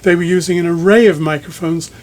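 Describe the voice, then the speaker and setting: A man with a noticeably high-pitched voice leads a technical interview. He talks conversationally, choosing his words thoughtfully and pausing mid-phrase as he builds each question. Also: steady tone, a little mellow. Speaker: magazine editor interviewing a speaker designer. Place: listening room in a home.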